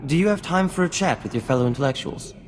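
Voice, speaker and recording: Flamboyant, vain scientist played by a man, swooping pitch. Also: expressive, quick pace, long reverb tail, noisy background, natural voice. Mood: proud, grand. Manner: grandiose, florid, courtly phrasing. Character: flamboyant, vain, charming male scientist and self-styled genius. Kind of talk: scripted video game acting. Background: music.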